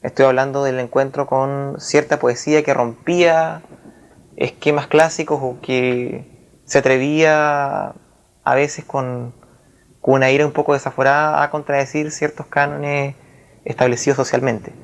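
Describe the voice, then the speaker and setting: A man talks like a writer in a thoughtful interview. His voice is creaky and stays within a narrow, even range. His phrasing is formal and literary, delivered haltingly as he searches for the exact word. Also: mid-range voice. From Chile. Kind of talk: reflective literary interview with recited verse.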